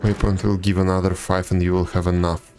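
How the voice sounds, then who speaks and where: A man's voice: sits low, little pitch movement, brisk, darkish tone, faint music behind. A man chatting casually as a game streamer, commenting to himself in a wry, self-deprecating way. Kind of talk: casual live gameplay chat. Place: indoors, streaming, game music behind.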